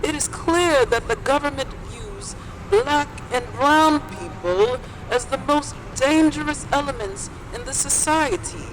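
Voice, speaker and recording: An actress playing an impassioned young revolutionary activist, giving an urgent, declamatory rally speech in an audio drama. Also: female voice, sits high, unhurried, noisy background. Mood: militant. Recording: music and crowd behind voice.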